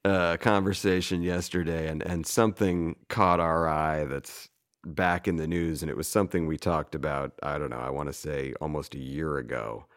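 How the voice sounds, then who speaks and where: Low-voiced male comedy co-host with a head cold; rambling, giggly banter. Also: fairly even intonation, moderate pace. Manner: rambling, laughs often. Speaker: podcast co-host.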